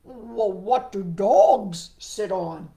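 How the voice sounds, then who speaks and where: A woman voicing a naive, uneasy frog from a children's picture book, asking things in a drawn-out, questioning way. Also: medium pitch, vocal fry, mellow. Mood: curious. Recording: echoey room.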